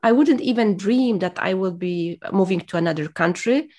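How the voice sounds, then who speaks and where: A woman's voice: mid-range voice, normal speed, a little mellow. A woman whose first language is Polish, speaking as an interview guest in a reflective, motivational way, hesitant and full of fillers as she searches for words.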